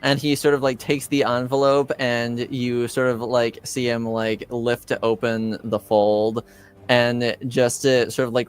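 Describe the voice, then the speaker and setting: A man improvising narration as game master of a tabletop roleplaying game, halting as he searches for words. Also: mid-range voice, clean tone.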